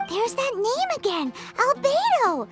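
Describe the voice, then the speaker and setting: A tiny childlike fairy sidekick with an extremely high, squeaky pitch. She is chirpy, cheeky and full of herself, exclaiming her lines in a game cutscene over music. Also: wildly swooping pitch, unhurried, heavily acted. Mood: curious, amazed. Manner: refers to self in third person, exclamatory. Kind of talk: video game cutscene acting.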